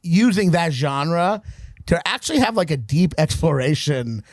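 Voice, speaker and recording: A young man co-hosting a casual movie podcast, talking in an excited, rambling way and laughing often. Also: a little high, measured pace, no room sound, clean studio sound. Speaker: podcast co-host.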